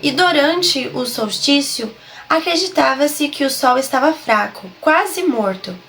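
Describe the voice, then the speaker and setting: A woman with a Brazilian accent who hosts a horror story channel and narrates slowly and dramatically, her voice nasal and a little hoarse from a flu. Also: high voice, animated, a bit bright, soft background music. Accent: Brazilian Portuguese. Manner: slow, dramatic storyteller's delivery. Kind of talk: slow scripted horror narration.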